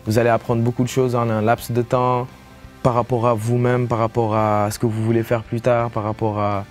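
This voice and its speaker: A man's voice: medium pitch, flat delivery, normal speed. A young man with a Senegalese French accent giving an informal testimonial as a student, rephrasing as he goes. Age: young adult. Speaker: international student. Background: guitar music.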